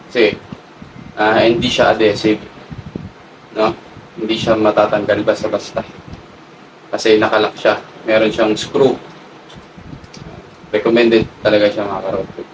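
Low-voiced Filipino man, distant and noisy. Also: level tone. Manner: halting, thinking aloud while working with his hands. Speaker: motovlog host. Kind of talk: casual hands-on unboxing and installation demo. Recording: distant mic with clear background noise.

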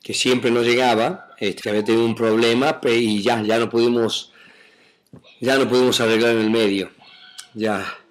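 A man with a mixed Argentine and Mexican Spanish accent, chatting casually from his home on a live webcam stream, rambling through viewer comments with many fillers and soft laughs. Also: medium pitch, steady tone, moderate pace. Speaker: actor doing a live webcam chat.

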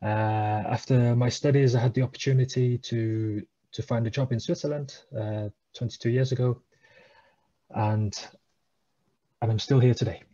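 Man, hesitant, headset video call, dark tone, dull audio. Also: medium pitch, fairly even intonation, moderate pace. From England. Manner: hesitant, many uh fillers, thoughtful. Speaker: guest. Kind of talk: casual interview over video call. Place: small room at home, indoors.

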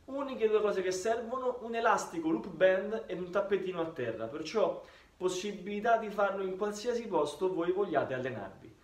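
A man in his thirties from Italy giving informal, encouraging exercise instruction as a personal trainer. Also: sits high. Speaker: personal trainer and fitness channel host. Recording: music behind the voice. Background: background music.

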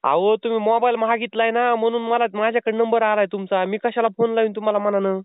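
A man, a fairly high and clear voice, talks quickly. He is posing as a delivery man on a prank call, pleading and insisting, and the line sounds thin and tinny, like a phone call. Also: level tone, dry recording.

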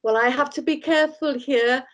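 A middle-aged woman hosting a video-call masterclass, lively and quick to laugh. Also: high-pitched. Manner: lively, bilingual, laughs easily. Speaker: host. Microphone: video call webcam.

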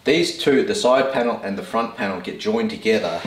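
A man's voice: fairly low pitch, normal speed, noisy background. A man in his thirties with an Australian accent, casually explaining his woodworking project in plain, laid-back words from a home workshop. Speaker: woodworking vlogger. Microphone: camera mic, speaker a few steps away. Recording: echoey workshop, voice from a distance.

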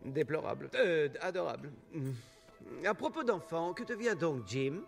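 A man voicing a fussy, pompous astrophysicist gentleman in an animated film dub, with a hesitant, theatrical delivery. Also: a little high, measured pace, creaky, soft background music, full character voice. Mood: awkwardly polite. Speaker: fan dub voice actor.

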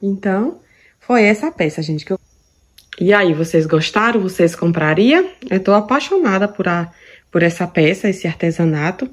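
A woman's voice: fairly low pitch, animated, moderate pace. A woman from Brazil, chatting casually through a cooking vlog in her home kitchen, fond of diminutives. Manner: chatty, affectionate, lots of diminutives. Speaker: vlogger.

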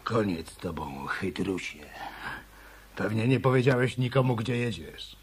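Volatile thug, swooping in pitch. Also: male voice, expressive, measured pace, husky, full character voice. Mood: menacing. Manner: threatening, curt. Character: menacing, volatile male ex-boxer killer. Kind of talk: radio play acting.

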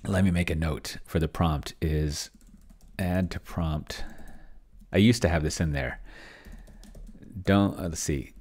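A middle-aged man giving casual think-aloud screencast commentary, halting and muttering as he types. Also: deep voice, darkish tone. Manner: halting, mutters while typing. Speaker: host of a solo roleplaying experiment vlog. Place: small indoor room with bookshelves.

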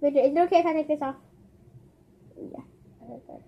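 A woman's voice: high-pitched, fast, vocal fry, highs cut off. A young girl hosting a casual craft vlog from a bedroom floor, chatty and rambling as she talks straight to her viewers through a camera set on the floor. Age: child. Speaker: channel host. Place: small carpeted bedroom, seated on floor. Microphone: camera on the floor nearby.

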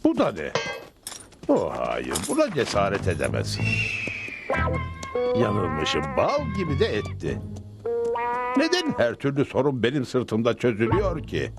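Sarcastic cartoon horse, huge pitch swings, medium register, put-upon grumbler, music behind. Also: male voice, huge pitch swings, unhurried, pauses often. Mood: sarcastic grumbling. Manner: dry, complaining. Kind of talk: dubbed TV cartoon acting.

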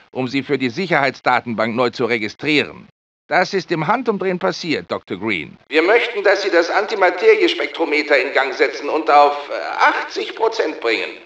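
An actor playing an anxious, learned research scientist in a video game, speaking in a formal, precise, explanatory manner. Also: male voice, on the high side, a bit bright, no room sound, lo-fi sound. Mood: polite, instructive. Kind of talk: scripted video game dialogue.

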